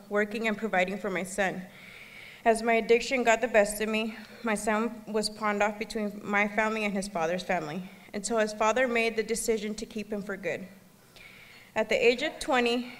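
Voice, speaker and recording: Woman, 30s, from El Salvador, earnest halting speech at a podium, long hall reverb. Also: mid-range voice, a bit bright. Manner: earnest, halting, occasional restarts. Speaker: gala speaker. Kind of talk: personal testimony speech. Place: gala banquet hall.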